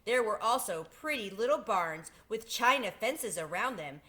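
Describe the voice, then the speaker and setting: A woman's voice: medium pitch, animated, normal speed, sharp tone. A woman reading a children's storybook aloud as a storyteller.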